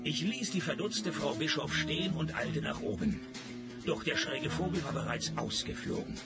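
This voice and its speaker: An actor playing a cynical, wisecracking private detective who narrates a radio crime comedy in a deadpan, gravelly voice. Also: male voice, on the high side, moderate pace, loud music behind the voice. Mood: dry narrating. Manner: deadpan, sardonic.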